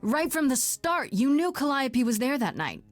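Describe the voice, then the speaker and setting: An actress playing a young heroine in a video game, blunt and probing, talking in a direct, conversational way. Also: female voice, high voice, very animated, moderate pace. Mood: accusing. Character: young woman Grace, blunt, probing, guarded newcomer heroine. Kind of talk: video game dialogue acting.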